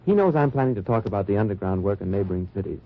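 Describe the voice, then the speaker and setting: Man playing a wry undercover agent, low voice, dry narration, dull old radio sound. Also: animated, very fast, a little hiss or hum, close to an everyday voice. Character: hard-bitten American undercover agent narrating. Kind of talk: 1940s radio acting.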